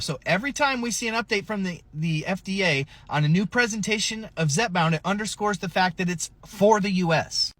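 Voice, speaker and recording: A man's voice: high voice, pushed and bright, no echo. A man hosting a podcast, giving an emphatic, informal news recap straight to viewers. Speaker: podcast host.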